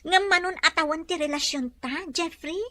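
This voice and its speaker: A woman's voice: very high-pitched, moderate pace, pushed and bright. A woman acting a jealous, demanding lady of the house in a radio drama, interrogating sharply. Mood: reproachful.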